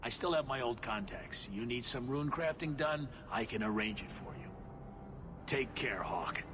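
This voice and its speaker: A man's voice: mid-range voice, expressive, moderate pace, noisy background, close to an everyday voice. An actor playing a sly, chummy male dwarf who trades in information, in a video game. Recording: game audio with music underneath.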